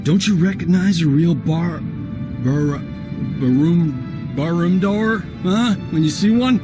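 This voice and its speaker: A man playing a grumbling, flippant colonist in a game cutscene, casual and expressive. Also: a little high, slow. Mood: exasperated. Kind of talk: video game cutscene acting. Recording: music under the voice.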